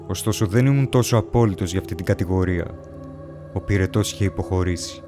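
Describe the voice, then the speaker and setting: Man voicing a solemn, low-voiced lost ghost. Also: music in the background, lightly acted. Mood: pensive, bewildered. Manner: solemn, deliberate, theatrical. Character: bewildered, awestruck ghost of an ancient man. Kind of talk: dramatic first-person horror story narration.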